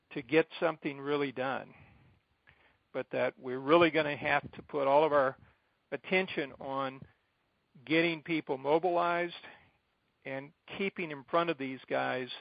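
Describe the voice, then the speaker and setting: A middle-aged American man briefing supporters informally on a conference call, speaking in deliberate, halting phrases with emphatic repetition. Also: medium pitch, creaky, dry recording. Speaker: advocacy group president addressing supporters. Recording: dull, band-limited conference call line.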